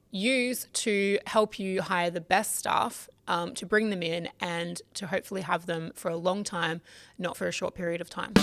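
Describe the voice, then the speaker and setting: A woman hosting a lawyer's channel, giving numbered tips in a clear, measured talk to camera. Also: mid-range voice, a bit bright. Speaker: migration lawyer, show host.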